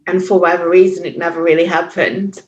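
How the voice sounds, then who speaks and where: Guest voice: Hungarian-accented woman in her forties, lowish pitch, hesitant and reflective, recorded in a roomy space on a dull, muffled line. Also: little pitch movement, fast, a little mellow, slight background noise. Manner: thoughtful, hesitant, many fillers and restarts. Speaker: podcast guest, yoga teacher. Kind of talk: reflective interview talk. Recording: echoey, muffled remote audio.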